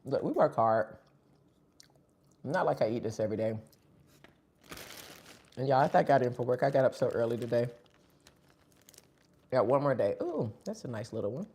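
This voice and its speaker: Gravelly, husky voice, chatting while eating. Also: mid-range voice, animated, normal speed, long pauses, darkish tone. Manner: chatty, talks between bites. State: sniffling. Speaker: live-stream host. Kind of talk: casual live-stream chat while eating.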